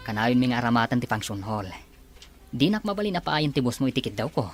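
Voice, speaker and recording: A man's voice: mid-range voice, sing-song, fast, faint music behind, close to an everyday voice. An actor playing a confident, courteous businessman courting a woman in a radio drama, earnest and assured. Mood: persuasive. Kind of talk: Ilocano radio drama acting.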